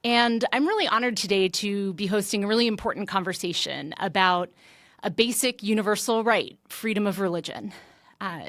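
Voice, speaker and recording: A woman's voice: medium pitch, a bit bright, dry recording, studio quality. A woman guest-hosting a formal interview podcast, speaking in an earnest, articulate and polished way. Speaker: guest host.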